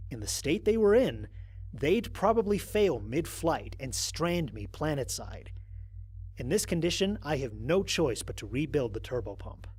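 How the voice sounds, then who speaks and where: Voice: mid-range voice, husky. An actor playing a wry, exasperated astronaut stranded on an alien world, recording mission logs in an audio drama with dry sarcasm. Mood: exasperated, matter-of-fact. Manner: sardonic, dry, expressive emphasis.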